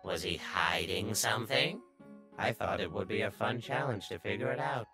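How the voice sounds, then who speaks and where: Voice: low-pitched, lively intonation, normal speed, creaky, music in the background. A performer voicing a sly, obsessively curious little talking flower in a comic dub, brooding and easily exasperated. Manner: brooding, scheming, bursting into exasperated outbursts. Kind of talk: comic dub character narration and dialogue.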